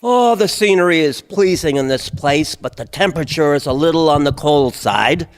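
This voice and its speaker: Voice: medium pitch, lively intonation, moderate pace, lightly acted. An actor playing a curious, musing beetle scout in a radio drama. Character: curious beetle scout, fussy about the cold.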